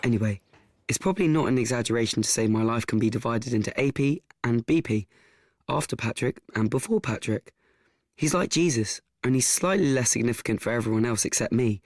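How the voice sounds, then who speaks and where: A man acting a frank, awkward teenage boy narrating his life in a radio play, deadpan, confiding and self-deprecating. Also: mid-range voice, brisk, no echo, studio quality, lightly acted.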